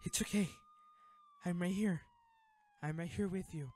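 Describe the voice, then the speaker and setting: A man playing a devoted, anguished boyfriend in an intimate audio roleplay, pleading and halting with emotion. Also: sits high, a little mellow. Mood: quiet, guilt-ridden. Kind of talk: intimate dramatic audio roleplay, one-sided dialogue.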